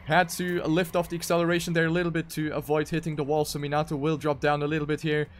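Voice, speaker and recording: Higher-voiced man commentating an esports race. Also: little pitch movement, fast, a little hiss or hum. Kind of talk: live game commentary.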